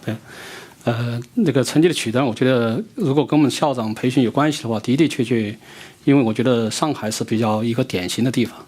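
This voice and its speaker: A man speaks quickly in a lecture room, his voice slightly husky. He answers in short bursts and stops so an interpreter can follow. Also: mid-range voice, darkish tone. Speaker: visiting education expert answering questions. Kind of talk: answering a question through an interpreter.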